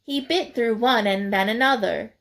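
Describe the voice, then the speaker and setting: A woman storyteller reading a children's picture book aloud expressively, deliberate and emphatic for young listeners. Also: a little high, gravelly, a bit bright.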